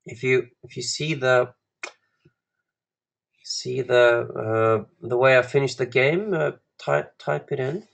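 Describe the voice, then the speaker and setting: A man from Iceland with an Icelandic accent, teaching a live online lesson as a coach in a halting, deliberate way with long pauses. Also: medium pitch, fairly even intonation, vocal fry. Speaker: guest chess coach. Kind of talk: live online lesson, talking through puzzles to students.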